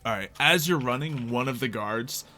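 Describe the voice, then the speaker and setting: A man with a bright, forward voice runs a tabletop roleplay game as game master. He narrates casually and conversationally, making it up as he goes, at a middling pitch. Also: normal speed, a little hiss or hum.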